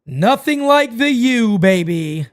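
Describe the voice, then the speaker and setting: A man hosting a live fan watch party, calling the game play-by-play and chatting with viewers. He is losing his voice, gets excitable, shouts on big plays and swears freely. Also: very high voice, slow.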